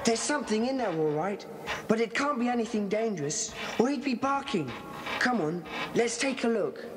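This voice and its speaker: A woman's voice: on the high side, expressive, moderate pace, heavy background noise, close to an everyday voice. A woman with a British accent playing a confident, bossy schoolboy club leader in a children's audio drama. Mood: wary, urgent.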